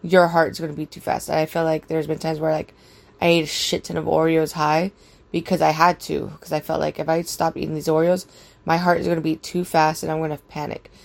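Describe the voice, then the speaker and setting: A young woman with a raspy voice, casually rambling through a personal story with lots of fillers and swearing. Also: deep voice, level tone, a little fast.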